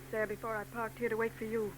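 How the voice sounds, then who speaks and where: A woman's voice: on the high side, level tone, fast, natural voice, low-quality recording. An actress playing an anxious young woman in a 1940s radio drama. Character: nervous young woman waiting in a car. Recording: old radio broadcast tape with faint noise.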